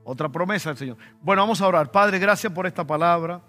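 A man's voice: sits high, quick pace, bright, forceful tone, faint music behind. A male pastor with a Dominican Spanish accent, preaching a conversational sermon with personal stories and sudden emphatic bursts. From Dominican Republic.

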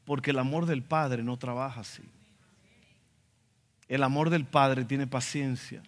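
A man's voice: mid-range voice, fairly even intonation, measured pace. A man with a Dominican accent preaching a sermon as a pastor, emphatic, acting out quotes in asides. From Dominican Republic. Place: church sanctuary. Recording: reverberant church hall.

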